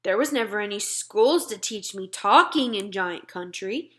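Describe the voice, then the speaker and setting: A woman's voice: medium pitch, lively intonation, a little slow, close to an everyday voice. A woman voicing a very old friendly giant in a children's storybook, declaiming theatrically and indignantly in garbled grammar. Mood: forlorn. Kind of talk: children's storybook read-aloud.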